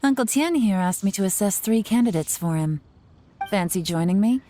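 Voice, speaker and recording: An actress playing a confident, teasing young covert operative in a video game, with a sly, unhurried delivery. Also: female voice, medium pitch, lively intonation, warm tone, slight background noise, natural voice. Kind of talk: scripted video game dialogue.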